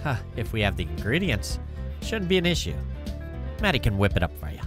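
A man's voice: lowish voice, wildly swooping pitch, brisk. Man playing an easygoing, teasing male bartender. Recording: music playing behind the voice.

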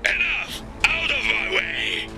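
An actor playing a fierce, defiant middle-aged rebel commander in a video game, gruff, blunt and commanding. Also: male voice, very high-pitched, expressive, slow pace, over loud music, full character voice. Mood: angry. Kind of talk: dramatic video game voice acting.

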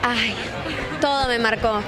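A young woman, an actress giving a quick red-carpet interview into handheld mics, often restarting her phrases. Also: on the high side, fairly even intonation, noisy background. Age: young adult. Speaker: lead actress. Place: outdoor red carpet with a crowd. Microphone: handheld press mics held close. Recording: loud crowd and music behind.

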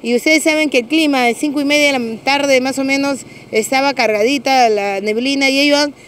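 A middle-aged woman with a Peruvian accent, a municipal security official giving a measured, official statement to reporters outdoors on a street, hesitating with fillers and restarts. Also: high voice, fairly bright tone, slight background noise. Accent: Peruvian Spanish. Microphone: several handheld press mics.

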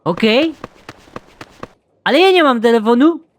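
A man voicing a small, dim but eager job applicant in an animated comedy, halting and simple-minded, repeating words. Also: very high-pitched, voice pushed up. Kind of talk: animated comedy series dialogue.

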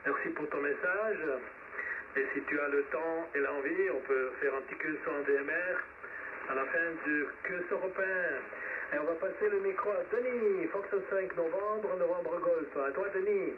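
A man's voice: fairly high pitch, normal speed. A man moderating an amateur radio net as host, heard over a shortwave radio transmission. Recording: tinny, noisy shortwave radio reception, distant. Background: radio static.

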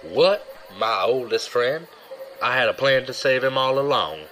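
An actor playing a glib, self-serving young rogue and old pal in an audio drama, casual in manner. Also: male voice, medium pitch, animated, a little slow, pushed and bright, slight background noise. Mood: defensive.

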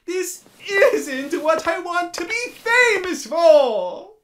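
A woman's voice: extremely high pitch, big pitch swings, takes it slowly, fairly bright tone, slight background noise, heavily acted. A woman voicing a vain, distressed male robot celebrity in jail, with theatrical, emotional delivery, for a comic dub. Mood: anguished, shouting.

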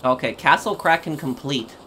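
A man's voice: fairly high pitch, sharp tone, slight background noise. Man giving hesitant gameplay commentary. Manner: hesitant, halting, repeating words. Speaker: gaming video host.

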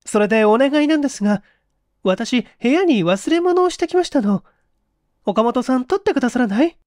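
A woman acting a high-voiced, refined young lady. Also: animated, a little fast, a little mellow, no room sound, studio quality. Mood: composed, polite. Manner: refined, feminine, pleading politeness. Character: Aiko, polite, composed young woman with a secret. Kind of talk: audio drama reading of a vintage mystery.

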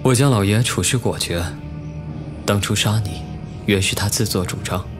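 Man acting low-voiced, smug scheming official. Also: moderate pace, natural voice. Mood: cool, persuasive. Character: scheming, lecherous young official, coolly menacing. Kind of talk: Chinese costume audio drama acting. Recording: music under the voice.

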